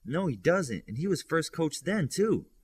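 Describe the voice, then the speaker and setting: A man's voice: on the high side, very animated, a little slow, husky, voice pushed up, heavily acted. Man reading as a precise, serious superhero girl. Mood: matter-of-fact. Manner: matter-of-fact, precise.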